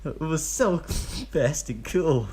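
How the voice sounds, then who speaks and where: A man reading aloud and voicing a boastful, excitable twelve-year-old boy ninja who keeps tacking on "yeah" and "hmm?". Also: on the high side, takes it slowly, slightly rough, voice pushed up, full character voice. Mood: excited boast. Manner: boastful, eager, ends lines with "yeah" or "hmm?".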